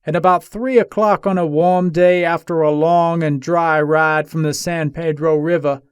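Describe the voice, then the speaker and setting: A man playing a jailed Old West gunfighter in a dramatic reading, giving his account in a measured, matter-of-fact way. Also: fairly high pitch, dry recording, studio quality, natural voice. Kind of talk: dramatic reading of an 1882 newspaper interview.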